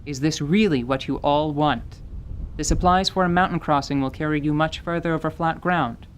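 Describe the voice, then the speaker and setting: A performer voicing a frank, weary woman who leads travellers, speaking deliberately and with caution in an audio drama. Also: mid-range voice, a little hiss or hum, natural voice.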